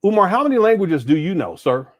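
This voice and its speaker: A man's voice: high-pitched, sing-song, fast. A man giving casual reaction commentary on a clip, exasperated and laughing, with slangy asides.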